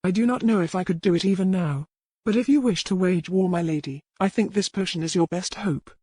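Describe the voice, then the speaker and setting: A woman voicing a bitter, grimly resolute old village woman who makes poisons, speaking in archaic, deliberate phrases. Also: lowish voice, dark tone, breathy edge, no echo, studio quality. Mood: bitter, resigned.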